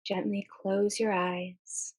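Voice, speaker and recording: Female meditation guide, mid-range voice, very slow and gentle delivery with long pauses. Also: mid-range voice, flat delivery. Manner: gentle, unhurried, with long pauses between short phrases.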